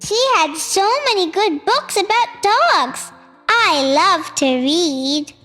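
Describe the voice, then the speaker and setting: A child host reads a storybook aloud in a very high voice. The delivery is slow and measured, as read-aloud narration for young listeners. The voice rings with long reverb, and music plays along. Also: animated, sharp tone. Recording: reverberant hall sound with music.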